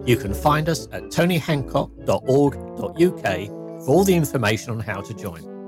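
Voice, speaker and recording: A man's voice: medium pitch, sing-song, normal speed, over music. A man co-hosting a podcast, talking keenly and reminiscing. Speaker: podcast co-host. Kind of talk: casual chat.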